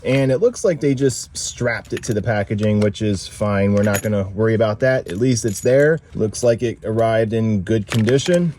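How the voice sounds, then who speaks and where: A man's voice: on the low side, moderate pace, hardly any pauses, darkish tone, slight background noise. A man narrating a casual unboxing and review as the video's host, explaining things in a plain-spoken, instructional way.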